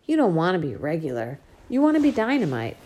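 A woman's voice: on the low side, lively intonation, moderate pace, darkish tone, close to an everyday voice. A woman reading a loving but stern middle-aged father in an audiobook, scolding then tender, with folksy slang. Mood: coaxing. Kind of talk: audiobook character voice.

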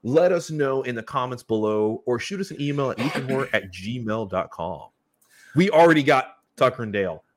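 A man's voice: mid-range voice, animated, normal speed, slight background noise. A man co-hosting a casual live chat show, earnestly explaining his points and talking to the audience. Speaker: co-host of horror review show.

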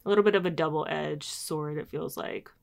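A woman's voice: sits low, normal speed, gravelly, darkish tone. A young woman hosting a casual talk, thoughtful and rambling, laughing easily. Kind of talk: casual podcast chat.

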